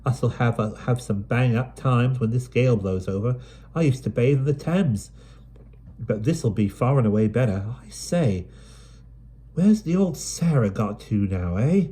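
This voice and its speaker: A man's voice: mid-range voice, lively intonation, unhurried, dark tone, slightly rough, pitched above the performer's own voice. A man voicing a plucky, chatty London street girl with broad cockney-style dialect in an audiobook. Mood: cheery. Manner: bold, chatty, upbeat. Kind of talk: audiobook character voice.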